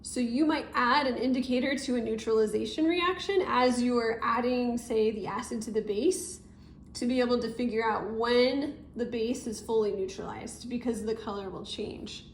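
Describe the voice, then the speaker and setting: A woman's voice: fairly high pitch, normal speed. A woman teacher giving a casual, explanatory step-by-step tutorial, recorded indoors at a desk. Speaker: chemistry teacher. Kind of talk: informal step-by-step tutorial narration. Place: indoor room, at a desk over paper. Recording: roomy echo with noticeable background noise.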